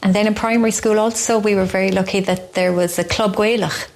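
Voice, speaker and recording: A woman's voice: mid-range voice, steady tone, a little fast. Chatty Irish woman, rambling. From Ireland. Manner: chatty, rambling, laughs easily. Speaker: teacher and singer, guest. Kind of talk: casual radio interview.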